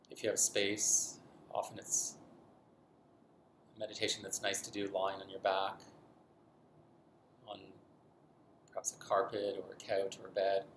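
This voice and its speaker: Low-voiced man guiding meditation in echoey room. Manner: gentle, unhurried, with long pauses. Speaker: meditation guide. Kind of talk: guided body scan meditation. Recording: roomy echo, faint background noise.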